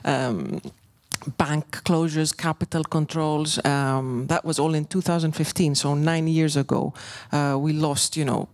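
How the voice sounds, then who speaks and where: A woman from Greece, a documentary filmmaker and journalist, speaking on a panel in a measured way, with frequent fillers and restarts. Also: low voice, steady tone, a little mellow. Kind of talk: panel discussion talk.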